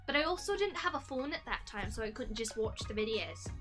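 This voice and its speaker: A woman co-hosting a casual gaming stream, playful and quick to laugh. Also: fairly high pitch, animated, fairly bright tone, soft background music. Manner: playful, laughing, easily amused. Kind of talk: casual gaming livestream chat.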